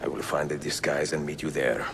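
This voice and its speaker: An older man with an Italian accent, playing a wise, weary and resolute aging assassin in a video game, speaking in a calm, measured way. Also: very deep voice, noisy background. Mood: calm, determined. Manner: measured, deliberate. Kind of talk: cinematic game acting, grave and measured. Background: music.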